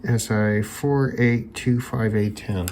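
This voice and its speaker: A man hosting an electronics teardown video, talking casually and unscripted, haltingly thinking aloud as he examines parts. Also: on the low side, fairly even intonation, a little mellow, a little hiss or hum. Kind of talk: casual unscripted hands-on demonstration talk.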